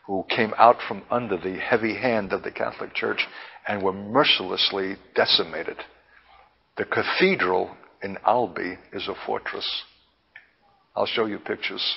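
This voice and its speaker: Guy preacher, husky kinda low voice, slow emphatic teaching, dull lo-fi sermon tape. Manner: deliberate, emphatic, halting with repeats, lofty vocabulary. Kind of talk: impassioned prophetic sermon, teaching.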